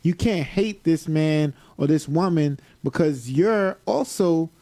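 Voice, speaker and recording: A man on a podcast talks in a reflective, measured way with a mellow, warm tone. His voice sounds close and dry, with no room around it. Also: a little high, no room sound. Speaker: podcast co-host. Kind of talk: casual podcast discussion.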